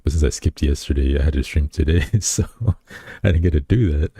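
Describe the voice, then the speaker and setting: A man with a very deep, dark voice chats loosely with his stream audience, reading their comments back to them. He rambles, restarts his sentences, and pokes fun at himself. The husky sound is dry and close to the mic. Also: a little fast, no echo. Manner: rambling, self-deprecating, many fillers and restarts. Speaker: VTuber streamer. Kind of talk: casual live-stream chat, reading chat aloud.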